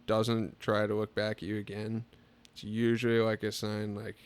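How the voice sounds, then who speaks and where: A man's voice: lowish voice, moderate pace, clean tone. Young man telling laid-back stories. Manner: relaxed storytelling, lots of filler "like". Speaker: podcast guest. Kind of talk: casual chat.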